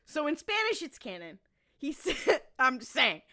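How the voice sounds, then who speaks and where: A woman's voice: high-pitched, wildly swooping pitch, normal speed, sharp tone. A woman on a podcast panel chatting casually as a fan making a case, emphatic and repeating phrases for effect.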